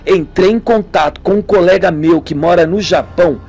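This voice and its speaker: A man's voice: high voice, moderate pace, a little mellow. A man voicing a knowing, eager young man who explains things in an amateur radio soap, with music. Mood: confident.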